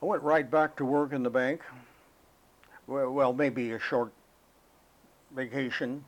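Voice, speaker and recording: Elderly American man, halting and reflective. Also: mid-range voice, fairly even intonation, rough recording. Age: elderly, 80s or older. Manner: halting, reflective, unhurried storytelling. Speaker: interviewee, war veteran recalling military service. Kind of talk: oral history interview, personal recollection.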